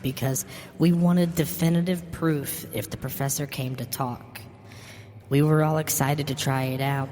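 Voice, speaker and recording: Low-pitched woman narrating in long reverb. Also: a little mellow. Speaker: horror story narrator. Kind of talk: scripted first-person horror narration. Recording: long reverb with music behind. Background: music.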